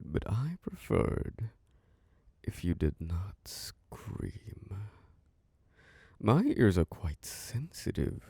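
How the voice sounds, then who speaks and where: A man voicing an obsessive, predatory male vampire lord in an ASMR roleplay. His voice is deep, gravelly and husky, and he speaks slowly in a hush that keeps slipping into whispers close to the microphone. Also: dry recording, exaggerated voice. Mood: threatening. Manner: slow, hushed, drifting into whispers.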